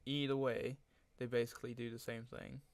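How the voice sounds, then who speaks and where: A man narrating a casual software tutorial, speaking informally and hesitantly as he thinks aloud. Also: medium pitch, flat delivery, fairly quick, dry recording. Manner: frequent throat clearing. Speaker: tutorial host.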